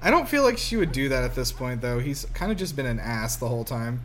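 A man's voice: medium pitch, sing-song, quick pace. A man giving casual, wry film commentary as a podcast co-host, exclaiming and swearing freely.

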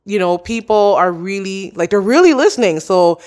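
A woman's voice: mid-range voice, lively intonation, moderate pace, darkish tone. A young adult woman, a podcast host being interviewed, talking casually and openly with lots of "like" and "you know.". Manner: chatty, many "like" and "you know" fillers.

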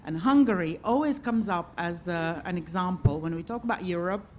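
Low-voiced woman moderating a live panel. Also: animated, normal speed. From Latvia. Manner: hesitant, many filler sounds. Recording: live event recording, faint background noise.

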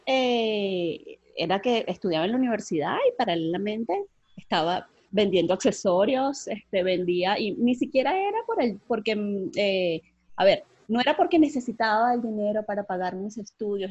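A woman's voice: medium pitch, normal speed, slightly rough, no room sound. Chatty woman, dull dry sound. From Venezuela. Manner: lively, chatty, frequent fillers and restarts, laughs easily. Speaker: podcast guest, entrepreneur. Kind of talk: casual interview chat.

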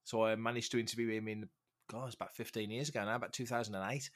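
A middle-aged man with a slightly rough, congested voice from a cold, telling anecdotes casually as a podcast guest. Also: lowish voice, fairly even intonation, very fast. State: getting over a cold, congested. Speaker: podcast guest, fan-site writer and interviewer.

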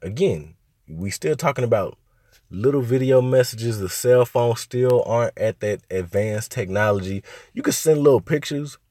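A young man from Arkansas hosting a casual solo podcast, talking in a laid-back, rambling way with lots of fillers, his voice a little hoarse from a cold. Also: mid-range voice, expressive, normal speed, warm tone, dry recording, studio quality. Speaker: podcast host.

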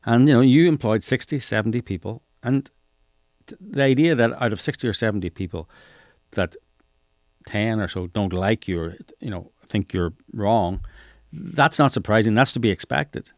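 A middle-aged man talks as an interview host. His voice is on the low side and recorded very dry. He is conversational and emphatic, repeating phrases to stress his point. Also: normal speed, no echo. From Northern Ireland. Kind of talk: casual conversational interview talk.

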